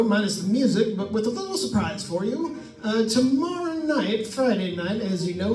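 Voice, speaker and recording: A man's voice: high-pitched, distant mic. A man playing a jovial, chummy radio disc jockey in old-time radio drama style, with showy patter. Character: Smiley Smith, excitable jovial male radio disc jockey. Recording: roomy stage pickup from afar, music behind.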